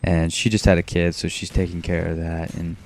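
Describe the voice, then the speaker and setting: A teenage boy from Maine rambling in a self-described monotone, talking casually over his gameplay with frequent restarts. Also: low-pitched, fairly quick, background noise. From Maine, USA. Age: teens. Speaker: gaming commentator.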